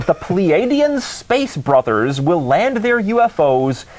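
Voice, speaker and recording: A man's voice: high-pitched, big pitch swings, takes it slowly, darkish tone. A man co-hosting a call-in talk show, speaking casually in a sardonic, mocking way.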